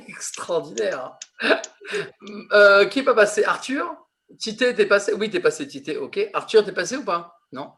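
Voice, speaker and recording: Slow-talking, laughing improv teacher man. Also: high-pitched, very animated, vocal fry, a bit bright. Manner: slow, laughing, filler-heavy teasing. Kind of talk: coaching a teen improv class.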